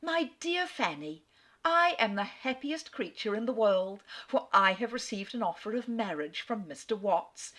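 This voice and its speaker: A woman's voice: mid-range voice, a bit bright. A woman with an Australian accent reading aloud as a giddy young woman who gushes theatrically about a marriage offer. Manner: breathless, gushing, theatrical.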